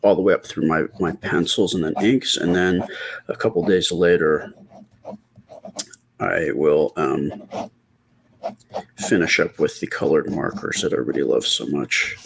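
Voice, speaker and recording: A middle-aged man talking softly and casually in ASMR style as he draws, with a quiet, hesitant, rambling delivery. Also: a bass voice, measured pace, vocal fry, long pauses, background noise. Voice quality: quiet, soft-spoken voice. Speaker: artist and channel host. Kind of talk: casual ASMR storytime while drawing.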